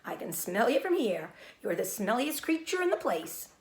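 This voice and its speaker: A woman's voice: a little high, big pitch swings, normal speed, a little mellow, echoey room. A woman reading a children's storybook, voicing a rude young lamb in a blunt, taunting manner. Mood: scornful. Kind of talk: children's storybook read-aloud.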